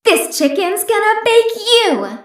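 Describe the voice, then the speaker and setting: Very high, squeaky robot chicken. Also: female voice, big pitch swings, takes it slowly, heavily acted. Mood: perky. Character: perky, squeaky female animatronic chicken. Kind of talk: video game catchphrase acting. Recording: echoey, distant.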